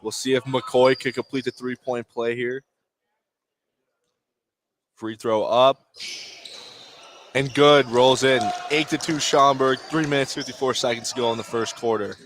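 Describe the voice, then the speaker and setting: A man doing live play-by-play basketball commentary for radio in clipped phrases, lifting into excited calls on big plays. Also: medium pitch, measured pace, long pauses. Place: indoor basketball gymnasium. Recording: noisy gym ambience. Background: gym noise, bouncing basketball.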